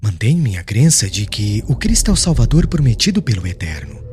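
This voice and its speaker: A man voicing a humble, devout preacher on trial in an audiobook, speaking in a firm, measured, formal way. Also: lowish voice, big pitch swings, a little mellow, slight background noise, voice pushed down. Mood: devout, calm. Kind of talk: audiobook character acting, formal speech.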